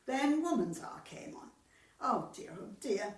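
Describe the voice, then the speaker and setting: A woman's voice: sits high, sing-song, measured pace, recorded from a distance. Sweet flustered old lady acted by a woman, radio comedy, rambles on, voice goes up and down, echoey room. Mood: flustered, embarrassed. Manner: fussy, flustered, innocently rambling. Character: sweet, scatty elderly widow on club committee. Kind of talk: amateur radio comedy acting.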